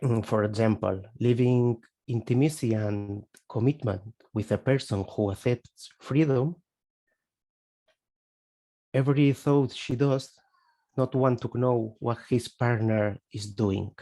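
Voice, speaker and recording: Spanish guy on a video call, slow and halting, low mellow voice, hunts for english words. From Spain. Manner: searching for words, hesitant. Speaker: webinar participant asking a question. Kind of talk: halting question in a video webinar.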